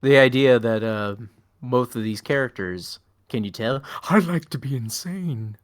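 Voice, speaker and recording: A man's voice: medium pitch, expressive, a little slow. A man speaking casually as a voice actor on an online panel. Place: online panel over voice call.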